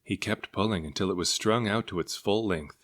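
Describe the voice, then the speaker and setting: A man with a low-pitched voice narrates a children's picture book. He reads it aloud as scripted storytelling, his voice sitting well down in the male range. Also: a little fast, a bit bright. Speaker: storybook reader.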